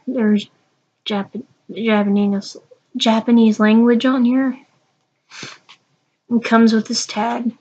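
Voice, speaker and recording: A young woman with a stuffy, sniffly nose, talking through a casual unboxing vlog with many pauses and restarts. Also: medium pitch, muffled recording. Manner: halting, with many ums and restarts. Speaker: vlogger.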